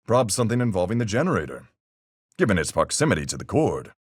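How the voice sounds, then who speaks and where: A gruff, hearty sea captain from a mystery video game, played by a man, speaks in a very low register with wide swings in pitch. He is level-headed and folksy, peppering his measured deductions with seafaring slang. The voice is clean and smooth. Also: big pitch swings, dry recording, clean studio sound, exaggerated voice. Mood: analytical, measured. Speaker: voice actor in a fan-made mystery game. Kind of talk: video game character voice lines.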